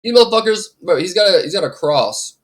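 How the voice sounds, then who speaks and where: A man streaming, reacting to a video and chatting bluntly with viewers, incredulous, with a raspy voice. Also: high-pitched, sing-song, a little fast. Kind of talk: casual chat with swearing.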